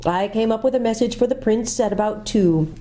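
A woman's voice: a little high, fairly quick, mellow, a little hiss or hum, pitched above the performer's own voice, close to an everyday voice. A woman voicing a sleepy, fussy male owl with a drowsy, hooting, sing-song manner.

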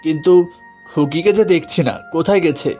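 A fairly high male cartoon voice from an actor: a poor village father, honest and gentle. He sounds weary but principled, with soft music behind. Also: fairly quick, natural voice. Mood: mild. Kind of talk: cartoon fairy tale voice acting.

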